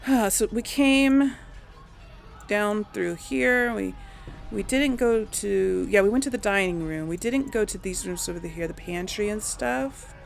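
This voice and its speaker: A woman's voice: medium pitch, a little slow, vocal fry. A woman giving casual gameplay commentary, thinking aloud to viewers and often trailing off. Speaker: let's play host.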